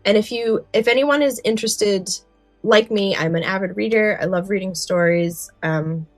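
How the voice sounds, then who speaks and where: A woman's voice: medium pitch, normal speed, no room sound, faint music behind. A woman co-hosting a podcast, chatting casually in an enthusiastic, talkative way. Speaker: podcast co-host. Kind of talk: casual chat.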